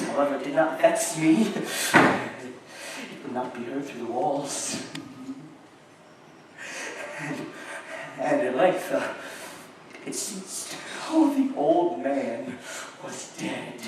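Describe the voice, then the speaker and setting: Distant, echoey stage horror. frantic, gleeful madman killer, slow and stammering. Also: a little high, animated. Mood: gleeful relief. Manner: halting, stammering repetitions, sudden outbursts and nervous chuckles. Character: unhinged nervous murderer narrating his gothic crime, gleeful and frantic. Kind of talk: theatrical dramatic reading of gothic horror. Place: live show at an amusement park. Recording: echoey hall from far off, some noise.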